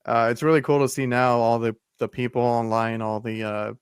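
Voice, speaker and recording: A man in his thirties hosts an easygoing chat. He asks questions with lots of fillers. His voice is smooth, with a fairly flat melody, and the sound is close and dry. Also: medium pitch, moderate pace, no echo. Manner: friendly, full of fillers and restarts. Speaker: podcast host, HVAC technician. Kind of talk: casual interview talk.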